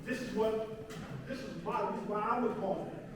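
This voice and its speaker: A man's voice: high-pitched, a little fast, over music. A man with a New York accent gives an earnest testimonial speech in an emphatic, repetitive, colloquial way. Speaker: speaker at a community assembly. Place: large indoor hall, picked up from afar. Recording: very echoey hall, distant mic, clear noise.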